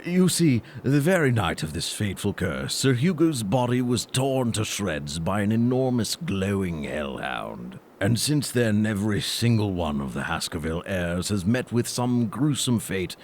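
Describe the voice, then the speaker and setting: An earnest, fearful doctor played by a man speaks in a fairly low voice. The delivery is solemn and halting, with theatrical weight fit for a comic mystery drama. Clear ambient noise sits behind the voice. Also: big pitch swings, darkish tone. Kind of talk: comedic audio drama acting.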